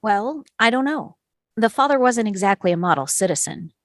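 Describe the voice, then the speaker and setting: A thoughtful, doubting male juror read by a woman in an everyday mid-pitched voice. The delivery is reflective and reasoned, recorded dry, as an amateur screenplay reading. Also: expressive, normal speed, no echo, close to an everyday voice. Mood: reasoning. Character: quiet, thoughtful, doubting man on a jury.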